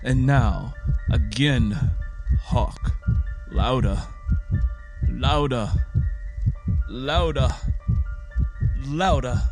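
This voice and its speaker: A man's voice: medium pitch, very slow, long pauses, music in the background. A man performing a gothic story as a paranoid, guilt-ridden murderer confessing, in a theatrical, vehement manner. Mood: frantic, anguished. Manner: theatrical, vehement, emphatic repetition. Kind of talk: dramatic first-person gothic story reading.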